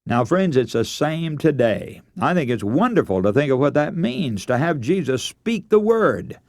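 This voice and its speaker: A man's voice: on the high side, sing-song, moderate pace, no room sound, clean studio sound. A man preaching a radio sermon, earnest and emphatic, telling stories and posing rhetorical questions.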